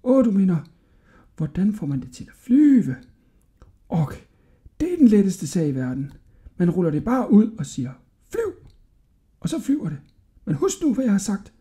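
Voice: mid-range voice, sing-song, pauses often, pitched above the performer's own voice, natural voice. A storybook narrator voicing an old man who sells carpets, cautioning and secretive in an admonishing way. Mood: instructing, warning. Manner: hushed, admonishing. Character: gruff-tempered old carpet seller, secretive, fond, cautioning.

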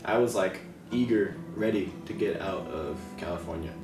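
A man in his twenties, an exchange student, giving relaxed, upbeat interview answers. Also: low-pitched, moderate pace, reverberant, recorded from a distance, music in the background. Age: 20s.

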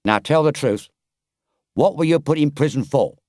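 A man's voice: high-pitched, big pitch swings, moderate pace, dry recording, studio quality, pitched above the performer's own voice. A man doing a kind-hearted engine driver. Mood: stern. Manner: earnest, urgent.

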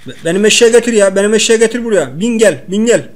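A man's voice: high voice, expressive, racing. Exclamatory man in casual gameplay chat. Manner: exclamatory, repeats short phrases. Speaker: gameplay video player. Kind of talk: casual, slangy game chat with a co-player.

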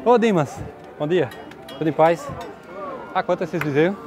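A man's voice: a little high, normal speed. A man with a Northeastern Brazilian accent chatting with vendors at an outdoor cattle fair, friendly and teasing. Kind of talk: casual chat with vendors. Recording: loud crowd around. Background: crowd chatter.

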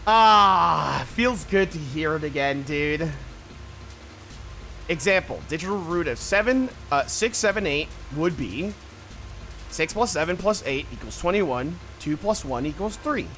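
A man's voice: fairly high pitch, lively intonation, unhurried, pushed and bright, slight background noise. A man streaming a puzzle adventure game, casually commenting, reading text aloud and muttering to himself.

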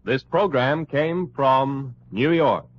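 A man's voice: medium pitch, takes it slowly, muffled recording, slight background noise. An American man announcing and narrating a scripted radio drama in 1950s style. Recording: old radio broadcast, loud music behind. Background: music.

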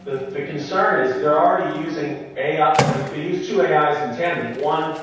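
Halting man in a very echoey recording. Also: on the high side, muffled recording. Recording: echoey distant playback, faint noise.

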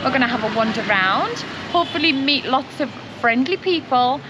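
Woman travel vlogger, very high, lively voice, heavy traffic noise behind. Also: a little fast. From England. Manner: chatty, friendly, enthusiastic. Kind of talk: casual travel vlog chat. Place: busy city street outdoors. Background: loud traffic noise.

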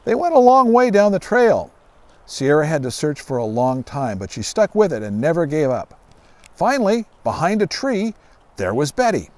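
Expressive, higher-voiced middle-aged man reading aloud. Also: normal speed, no room sound. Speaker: guest author reading own children's book. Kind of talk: storybook read-aloud for children. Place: outdoors on a grassy lawn by trees.

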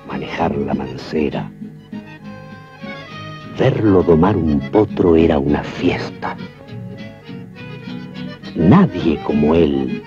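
An actor playing a solemn Argentine storyteller, giving poetic, measured narration of a rural legend in a film. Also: male voice, extremely low pitch, exaggerated voice. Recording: dull old film track, music behind.

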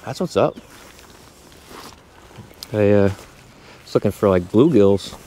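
Casual angler vlogger, man, unhurried delivery, lively pitch, recorded outdoors on a camera mic. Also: slight background noise. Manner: relaxed, mutters to himself, excited bursts when a fish bites. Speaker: angler filming his fishing trip. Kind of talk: casual self-narrated fishing vlog. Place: outdoors by a brook from a small boat.

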